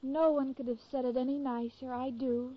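A woman's voice: high-pitched, level tone, normal speed. An actress playing a sweet, naive, gushing young girlfriend in a 1940s radio comedy. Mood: adoring. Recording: muffled, noisy 1940s radio broadcast.

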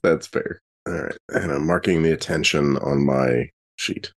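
A man's voice: a bass voice, steady tone, unhurried. A man chatting and bantering casually as a player in a tabletop role-playing game.

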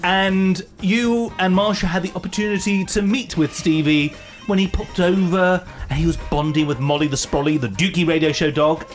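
A woman's voice: on the low side, fairly even intonation, normal speed, faint music behind. A woman hosting a radio show in casual chat, with dry, teasing asides.

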